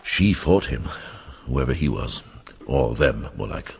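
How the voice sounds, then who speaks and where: Very deep, gravelly older British monk. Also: male voice, animated, takes it slowly, darkish tone, full character voice. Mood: grave, analytical. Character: shrewd, kindly older medieval monk. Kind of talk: BBC radio drama acting.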